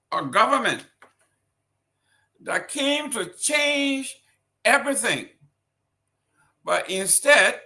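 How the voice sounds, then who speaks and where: A man's voice: sits high, gravelly, sharp tone. A man with a Liberian accent, reading political news and then commenting on it with indignant, emphatic rhetorical questions. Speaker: talk show commentator.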